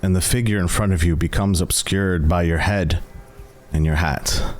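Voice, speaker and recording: A man working as game master, narrating a tabletop game in a theatrical, suspenseful, cinematic style with deliberate pauses. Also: low voice, steady tone, faint music behind.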